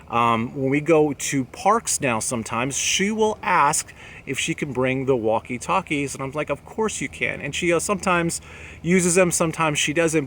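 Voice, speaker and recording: A man's voice: medium pitch, fairly bright tone. A man talking casually and plainly to camera as host of an outdoor gear channel, recorded outdoors. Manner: plain-spoken, conversational. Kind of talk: casual product talk to camera. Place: outdoors among apartment buildings and trees. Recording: noticeable background noise, outdoor ambience.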